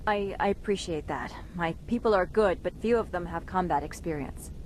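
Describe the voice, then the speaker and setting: A woman's voice: on the low side, moderate pace, darkish tone, slight background noise, lightly acted, low-quality recording. A woman playing an earnest, guarded security officer with an official manner in a video game. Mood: concerned.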